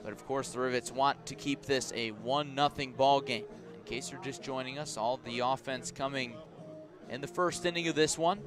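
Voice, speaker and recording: A man calling a baseball game live on the radio, describing each pitch in measured phrases and lifting his voice for key plays. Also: fairly even intonation, over music. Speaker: baseball play-by-play announcer. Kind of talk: live radio sports commentary.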